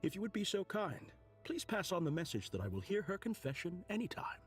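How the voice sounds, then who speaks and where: A man playing a courteous middle-aged clergyman in a video game, a gentle, wistful father who speaks in formal, measured, poetic phrases. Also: high voice, sing-song, faint music behind. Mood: gracious, wistful. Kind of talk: scripted video game dialogue.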